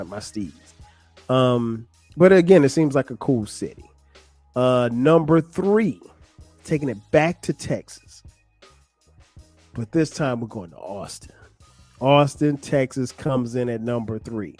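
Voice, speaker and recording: A middle-aged man from South Carolina talks through his own podcast in an easy, rambling way. He drops casual slang and fills pauses with ums. The mic is close and the sound is dry, with faint music behind him. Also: mid-range voice, darkish tone, dry recording. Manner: loose and chatty, lots of ums and slang. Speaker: podcast host. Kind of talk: casual solo podcast talk.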